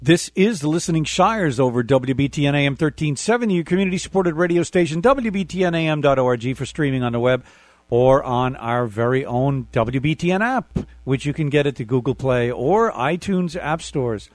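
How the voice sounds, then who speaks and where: A man's voice: medium pitch, expressive, brisk, no room sound. A man hosting a community radio interview, a conductor who talks in long reflective asides. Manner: rambling, reflective, warm asides.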